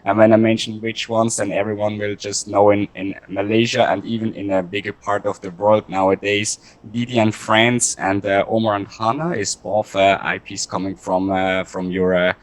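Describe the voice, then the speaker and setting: A man with a fairly low voice hosts a conversational interview. He phrases things deliberately and haltingly, feeling his way through introductions and questions. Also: no echo. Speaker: podcast host interviewing a guest.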